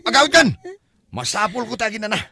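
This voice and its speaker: A man's voice: high voice, expressive, quick pace, gravelly, bright, forceful tone, heavily acted. A man acting an exasperated boyfriend worn down by a clingy partner in an Ilocano comedy drama, with an Ilocano Filipino accent and a complaining, whining delivery. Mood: angry, fed up. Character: exasperated, hard-pressed boyfriend of a clingy woman.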